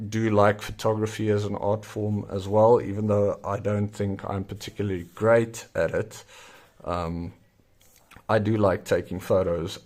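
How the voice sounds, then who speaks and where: A deep-voiced South African man, a native Afrikaans speaker, talks through a craft tutorial. He speaks slowly and loosely, often pausing on fillers as he thinks. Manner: relaxed, hesitant with many ums and uhs. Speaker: zine maker. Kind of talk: casual tutorial.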